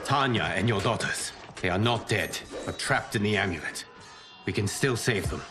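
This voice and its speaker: A wise former god speaks with solemn, measured respect, as a man voices him. His voice sits a little low and steady. A loud orchestral game score plays beneath him. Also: loud music behind the voice, lightly acted. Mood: urgent. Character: calm former god, wise male monk-champion. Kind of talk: video game cutscene acting.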